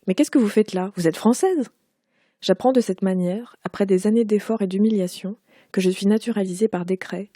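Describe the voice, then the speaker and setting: A woman in her forties, raised in Quebec but speaking with a Parisian French accent, reads her own literary prose aloud. Her voice is mellow and warm, at a middle pitch for a woman. The delivery is measured and unhurried, recorded dry with no room echo. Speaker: author.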